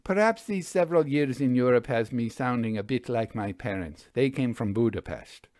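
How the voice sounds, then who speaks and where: A man's voice: medium pitch, animated, moderate pace, darkish tone, dry recording, close to an everyday voice. A man playing a suave, theatrical stage magician. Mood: suave, flirtatious. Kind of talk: audiobook storybook dialogue.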